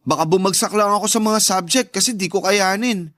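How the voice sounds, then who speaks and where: A man's voice: sits high, fairly quick, fairly bright tone, dry recording, clean studio sound. A young man playing a shy, apologetic son in a Tagalog radio drama, answering politely and timidly in his own defense. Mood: sheepish, downcast.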